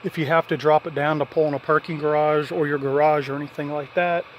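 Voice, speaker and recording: A man's voice: on the high side, flat delivery, fast talker, muffled recording, a little hiss or hum. A man giving a casual, unscripted hands-on walkthrough of an installation, explaining things in a matter-of-fact way. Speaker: hobbyist presenter showing a radio installation.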